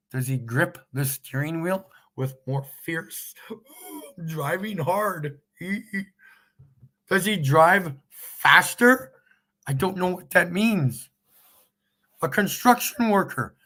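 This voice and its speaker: A man's voice: high voice, a bit bright. A man with a Canadian accent, live streaming as he makes a prank phone call and then rants in a mocking, sarcastic way.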